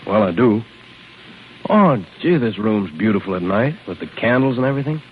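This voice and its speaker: A man's voice: on the low side, expressive, normal speed, natural voice. A man acting a lovesick, impulsive young nephew in a 1930s radio drama, earnest, impassioned and stammering. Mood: shy, earnest. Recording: tinny, hissy 1930s radio broadcast.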